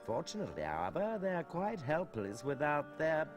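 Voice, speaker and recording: An actor playing a pompous, sinister scheming bishop in a video game, grandiloquent and silkily menacing. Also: male voice, a little high, huge pitch swings, moderate pace. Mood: gloating. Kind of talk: theatrical video game voice acting. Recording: long cavernous reverb, music behind.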